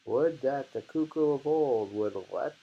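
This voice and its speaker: Slow-talking man with a gravelly low voice. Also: lo-fi sound. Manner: slow, musing, often repeats lines deliberately. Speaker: host reading a poetry book aloud. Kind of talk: casual reading and discussion of poems.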